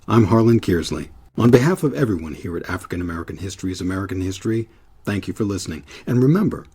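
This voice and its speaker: A man narrating a scripted history documentary as its host in a measured, solemn, deliberate manner. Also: low-pitched, animated, dark tone, breathy edge. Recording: narration over a music bed. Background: music.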